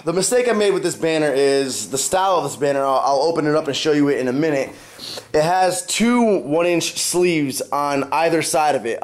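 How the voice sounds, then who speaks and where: Man with a stuffed-up, cold-worn voice. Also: a little high. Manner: chatty, explains things step by step. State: stuffed up from a cold, partly lost voice. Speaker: fitness vlogger. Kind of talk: casual vlog talk to camera.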